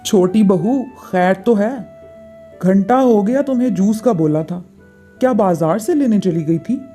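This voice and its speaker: A bossy older auntie in a family storybook tale, acted by a woman. She orders and finds fault in a soft-edged, mid-pitched voice, with music underneath. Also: measured pace, close to an everyday voice. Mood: scolding, demanding. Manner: commanding, complaining. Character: bossy, demanding, fault-finding older visiting aunt.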